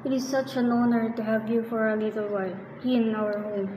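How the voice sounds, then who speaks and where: A woman's voice: on the high side, little pitch movement, moderate pace, mellow, a little hiss or hum, lightly acted. A woman playing a courteous, formal figure in a student radio drama. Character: courteous, formal host and meeting member. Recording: distant, muffled, echoey hall.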